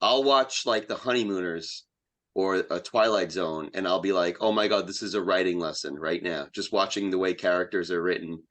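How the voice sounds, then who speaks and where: A man's voice: deep voice, moderate pace, a bit bright. A man who is a playwright and podcast guest, chatting casually in an enthusiastic, plain-spoken way. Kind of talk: casual interview chat.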